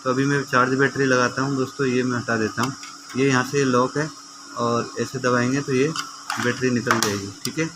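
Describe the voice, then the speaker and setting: A man's voice: medium pitch, fairly even intonation, moderate pace, noisy background. A man presenting an informal how-to demonstration, explaining step by step in a conversational way and addressing viewers like friends. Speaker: repair tutor presenting a how-to video.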